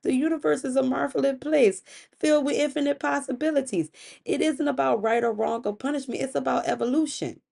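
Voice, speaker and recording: A woman voicing a wise, benevolent old father god in a spoken myth, measured and authoritative. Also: high-pitched, steady tone, creaky, a little mellow, voice pushed up. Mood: wise, reassuring. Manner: measured, philosophical, decisive.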